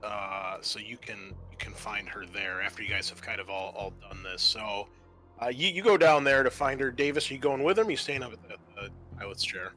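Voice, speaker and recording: A man acting as game master, haltingly improvising narration and prompting the players with questions. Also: lowish voice, animated, bright, forceful tone, faint music behind.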